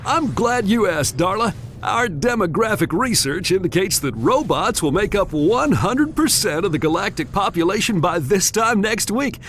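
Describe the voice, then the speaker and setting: An actor playing a pompous half-robot president, glib and self-serving. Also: male voice, sits high, expressive, normal speed, a bit bright, slight background noise. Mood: smug.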